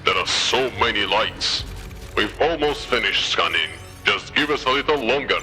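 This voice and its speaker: Sharp, forceful field soldier. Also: male voice, fairly low pitch, animated, moderate pace, soft background music. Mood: awed, busy. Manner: terse, forceful military reporting. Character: eager male field soldier reporting scan results. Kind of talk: video game unit dialogue.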